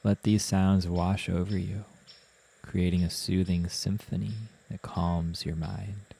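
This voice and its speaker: A man narrating a guided meditation as the guide, in a soothing, gentle manner with unhurried instructions. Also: sits low, little pitch movement, vocal fry, breathy edge.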